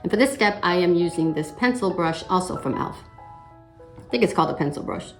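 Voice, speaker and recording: A middle-aged woman narrates a makeup tutorial while fighting a slight cold. Her voice sits low and sounds a little raspy and gravelly. She explains each step in an easy, chatty way, with faint music playing underneath. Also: a little fast. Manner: relaxed step-by-step explaining with frequent "uh" and throat clearing. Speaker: makeup vlogger.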